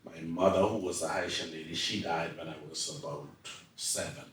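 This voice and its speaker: A man's voice: sits low, steady tone, moderate pace, fairly bright tone, slight background noise. A man with a Turks and Caicos accent telling his life story as an interview guest, emphatic and often repeating his phrases. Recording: echoey room, distant mic.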